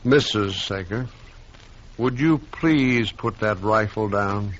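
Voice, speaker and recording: An actor playing a nervous, pushy railroad agent who pleads and stammers, in a 1950s radio drama. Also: male voice, on the low side, slow. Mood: pleading. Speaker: railroad representative. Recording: dull old radio recording.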